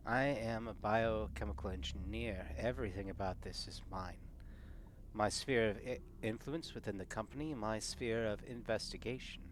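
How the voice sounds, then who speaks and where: A man playing a stern, proud corporate scientist in a tabletop game, speaking formally and deliberately over background noise. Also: lowish voice, lightly acted. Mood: cold, commanding. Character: stern, proud male corporate biochemical engineer.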